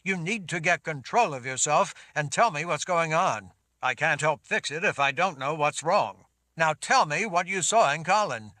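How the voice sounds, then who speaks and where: A man's voice: a little high, expressive, moderate pace, bright, forceful tone, dry recording, studio quality, pitched above the performer's own voice. A man voicing a protective lord who speaks in a firm, insistent voice.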